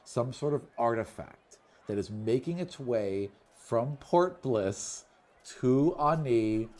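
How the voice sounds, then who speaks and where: A man with a mid-pitched voice runs a tabletop fantasy game as game master. He improvises his descriptions in a conversational way, halting and rephrasing, and slips between narration and casual chat. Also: animated, a little mellow.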